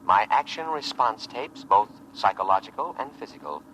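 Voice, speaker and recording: Male war-machine computer, low pitch, pompous measured delivery, paranoid calm, old muffled radio drama sound. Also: slight background noise. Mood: proudly reassuring. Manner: solemn, measured, grandiose oratory. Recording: muffled vintage radio broadcast recording.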